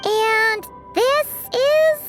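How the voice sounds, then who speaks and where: A woman voicing a small, childlike female fairy companion with a squeaky voice, cheerful, chatty and eager, in a video game cutscene. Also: extremely slow, a bit bright, heavily acted. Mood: eager, curious. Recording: music behind the voice.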